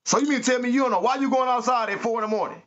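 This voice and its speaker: A stern father grills his son with slow, pointed questions, as a man acts him out. He sounds gruff and unimpressed. The recording is dull and lo-fi. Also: very high-pitched, a bit bright, pitched above the performer's own voice. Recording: muffled lo-fi recording.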